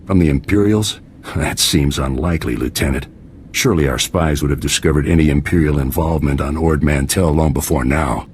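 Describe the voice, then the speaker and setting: A man playing a stern, composed middle-aged military commander in a video game, speaking in a measured, formal, authoritative way. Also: a bass voice, a little hiss or hum. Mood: dismissively skeptical. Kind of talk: scripted video game acting.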